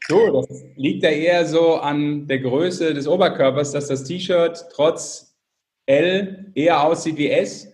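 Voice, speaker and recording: A man's voice: on the high side, takes it slowly, a little mellow. A man co-hosting a podcast in a casual, joking way. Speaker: podcast co-host. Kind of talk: casual chat, bantering.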